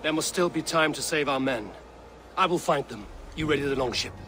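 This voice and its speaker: An actor playing a determined Viking warrior in a video game cutscene, firm and terse. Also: male voice, a little high, lively intonation, brisk, lightly acted. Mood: resolute. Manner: firm, terse, dry confidence. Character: determined Viking warrior, man, young adult.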